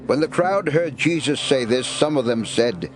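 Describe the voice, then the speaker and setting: A man's voice: mid-range voice, animated, normal speed, background noise. A man narrating an audio Bible drama in a scripted storytelling style.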